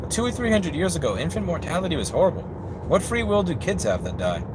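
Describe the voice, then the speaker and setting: A man's voice: a little high, sing-song, quick pace. A man in casual, argumentative conversation, blunt and sweary, talking inside a moving car. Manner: blunt, sweary, argues by analogy. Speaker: repair technician hosting a livestream. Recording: clear road and engine noise in car.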